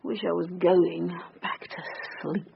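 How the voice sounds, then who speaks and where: A woman's voice: fairly low pitch, flat delivery, measured pace, creaky, breathy edge, full character voice. A woman playing a weary, sardonic British police detective in a naturalistic radio drama, with a dry, exasperated manner. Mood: weary, sarcastic. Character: weary, sardonic female police detective caring for her father. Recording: dull, noisy radio recording.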